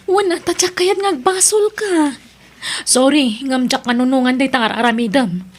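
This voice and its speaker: A woman's voice: high-pitched, moderate pace, breathy edge. A Filipino woman playing a blunt, disapproving best friend in an audio drama, admonishing and exasperated. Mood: scolding.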